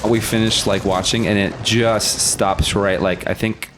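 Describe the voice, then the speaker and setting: A man with a fairly low voice and Russian as his first language is a guest on a podcast. He tells his stories in an informal, conversational way, often chuckling as he goes. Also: steady tone, unhurried, noisy background. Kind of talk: casual storytelling in conversation.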